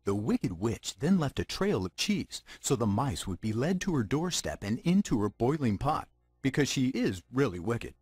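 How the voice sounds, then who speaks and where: Wry, husky storybook narrator. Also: male voice, medium pitch, big pitch swings, moderate pace, a little mellow, no echo, clean studio sound, faint music behind. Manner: theatrical, wry. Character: wry male fairy-tale storybook narrator.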